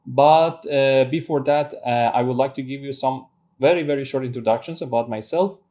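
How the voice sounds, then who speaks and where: A man in his thirties from Iran with a Persian accent, Persian his native tongue, teaching a robotics tutorial with frequent pauses and restarts. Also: medium pitch, dark tone. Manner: hesitant, many fillers and restarts. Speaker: robotics instructor. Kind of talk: screen-share tutorial lecture.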